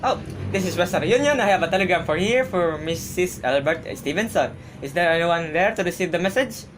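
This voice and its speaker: Woman as telegram clerk, lowish voice, polite, formal, hesitant, radio play, faint noise. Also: lively intonation, fairly quick, lightly acted. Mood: businesslike. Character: polite, businesslike telegram clerk reading messages. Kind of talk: amateur radio drama acting.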